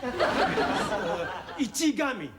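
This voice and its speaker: A man's voice: very high-pitched, sing-song, drawn-out words, heavy background noise, heavily acted. A man playing a polite, formal businessman lodger who speaks broken English in clipped phrases. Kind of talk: sitcom acting.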